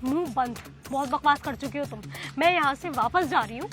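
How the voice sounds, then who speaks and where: A woman plays a defiant schoolgirl with a very high voice, an evasive younger sister in a melodramatic moral-story skit. Music plays clearly behind her. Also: fairly quick, lightly acted. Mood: exasperated. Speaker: actor in a moral-story video. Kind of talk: amateur melodramatic skit acting.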